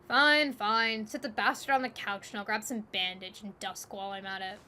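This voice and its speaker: Woman voicing a loud, brash, foul-mouthed young male grump. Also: medium pitch, steady tone, normal speed, background noise, lightly acted. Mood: grudging. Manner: swearing, brash, loud and abrasive.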